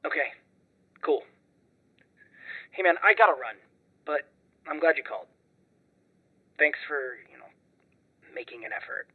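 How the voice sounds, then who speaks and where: A man's voice: a little high, animated, measured pace, pauses often, slightly rough. An actor playing a frustrated, distrustful young man, blunt and sweary, in a conversational audio drama over a dull phone-call line. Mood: subdued, conciliatory.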